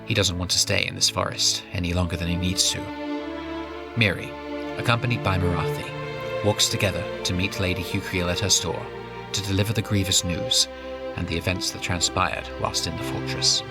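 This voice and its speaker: A man's voice: low-pitched, steady tone, moderate pace, gravelly, over loud music. A man giving scripted narration as the storyteller of a fantasy audio drama.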